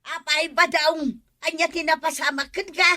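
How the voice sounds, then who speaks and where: A woman's voice: very high-pitched, steady tone, normal speed, pushed and bright. A woman acting a fretful, exclaiming village wife in a radio comedy drama, with an Ilocano accent.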